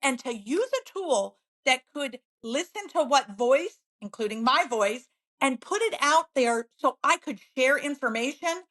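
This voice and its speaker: A middle-aged woman, a real estate agent, talking as a guest in a podcast interview in an upbeat, energetic way. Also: high-pitched, unhurried. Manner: upbeat, energetic, laughs often.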